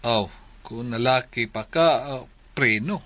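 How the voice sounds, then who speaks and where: A man's voice: medium pitch, measured pace, pushed and bright, no echo. A man with a Cebuano Filipino accent acting a proud, blunt widowed father in a radio drama. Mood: softened, sheepish. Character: indignant, forceful widowed father confronting another parent.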